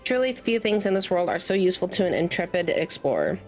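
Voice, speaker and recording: A woman's voice: fairly low pitch, sing-song, quick pace. Chatty woman streaming over game music. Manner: chatty, self-interrupting, playful asides while snacking; reads game dialogue aloud, stumbling over fantasy names. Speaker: streamer, gamer. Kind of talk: casual let's play livestream commentary. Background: video game music.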